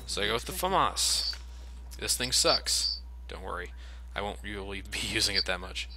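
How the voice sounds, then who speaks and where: A man's voice: fairly low pitch, lively intonation, normal speed, sharp tone, a little hiss or hum. A man giving casual, sardonic, sweary commentary as he plays a video game. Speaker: video game let's player and host.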